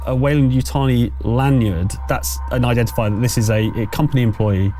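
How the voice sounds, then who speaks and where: A man running a tabletop game as game master, improvising the narration in a halting, conversational way. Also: mid-range voice, fairly even intonation, warm tone, slight background noise. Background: background music.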